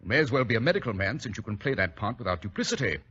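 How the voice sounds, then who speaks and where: An actor playing a cool, commanding British gentleman detective, assured and dryly teasing, in 1940s radio drama style. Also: fairly low pitch, lively intonation, racing, breathy edge. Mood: brisk, instructing. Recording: muffled vintage radio recording.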